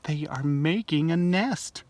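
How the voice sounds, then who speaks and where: Man putting on a light, slow, cheerful storybook pig. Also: a little high, a little mellow, a little hiss or hum. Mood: gleeful. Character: cheerful, matter-of-fact storybook pig friend breaking news.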